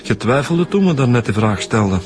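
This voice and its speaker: An actor playing a patient, agreeable police detective with a Flemish accent, courteous and a little hesitant, in a radio crime drama. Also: male voice, medium pitch, lively intonation, fairly quick, lightly acted. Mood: polite questioning. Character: polite, patient, soft-pedalling male police detective.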